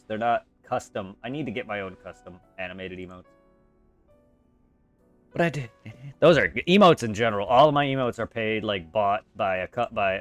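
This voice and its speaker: A man's voice: lowish voice, a bit bright. Chatty man streaming a game. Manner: chatty, swears freely, thanks viewers. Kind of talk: casual live-stream chat.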